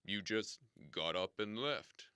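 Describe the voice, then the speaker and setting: An actor playing a formal, theatrical radio station boss who explains things slowly and swears grand oaths. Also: male voice, on the low side, lively intonation, sharp tone, smooth, no echo, clean studio sound, heavily acted. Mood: grave. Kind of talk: comedic audio drama acting.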